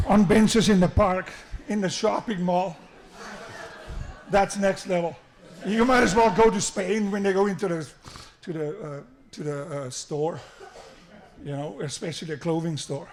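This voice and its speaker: Middle-aged South African man, slightly high voice, self-deprecating wedding speech with restarts. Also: a little mellow. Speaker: father of the groom giving a wedding speech. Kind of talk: wedding toast. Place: wedding reception hall. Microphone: handheld microphone through the sound system.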